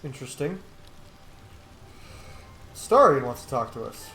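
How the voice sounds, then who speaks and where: A man's voice: medium pitch, big pitch swings, a little slow, pushed and bright. A man giving casual gameplay commentary as a streamer, informal, with swearing and joking asides. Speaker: game streamer. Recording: roomy echo on voice. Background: faint game music.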